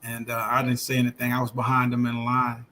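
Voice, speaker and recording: A man's voice: medium pitch, monotone, a little fast, bright, forceful tone, a little hiss or hum. A man hosting a casual livestream chat, telling stories in a laid-back, joking way. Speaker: livestream host.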